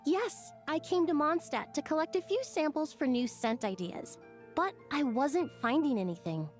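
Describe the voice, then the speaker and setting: A woman's voice: high voice, animated, normal speed, soft background music. A woman playing a friendly young perfumer in a video game, speaking in a polite, enthusiastic and thoughtful way. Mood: friendly, explaining. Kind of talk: video game character voice acting.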